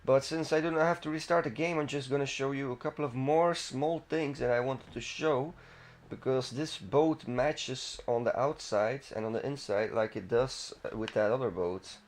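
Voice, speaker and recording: Male gaming host, mid-pitched, unscripted and rambling walkthrough commentary, casual delivery. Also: moderate pace, few pauses.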